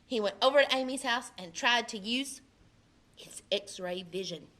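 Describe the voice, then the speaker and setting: Bright-voiced woman reading a storybook aloud. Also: on the high side, unhurried. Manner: expressive, puts on character voices in quotes. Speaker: librarian reading a picture book aloud.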